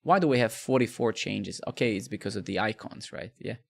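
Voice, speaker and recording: A man's voice: fairly low pitch, fairly quick, creaky. A man, chatting casually with viewers during a live coding stream, rambling and repeating words. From Serbia.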